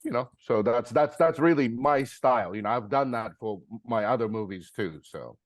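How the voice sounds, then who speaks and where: A man's voice: medium pitch, animated, moderate pace, no room sound. A middle-aged man from Japan, speaking earnestly as a filmmaker guest, full of fillers and restarts. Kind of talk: interview conversation.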